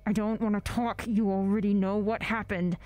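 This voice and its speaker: A woman's voice: mid-range voice, fairly even intonation, moderate pace, a little mellow, no room sound, natural voice. A woman playing a withdrawn, exhausted surveillance officer in an audio drama, speaking in terse, reluctant phrases. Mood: sullen, guarded. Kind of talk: audio drama monologue, spoken log.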